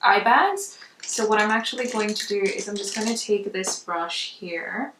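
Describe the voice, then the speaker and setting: A woman's voice: medium pitch, moderate pace, noisy background. A woman giving a casual makeup tutorial in a chatty, conversational way. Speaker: makeup vlogger. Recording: roomy echo, distant mic.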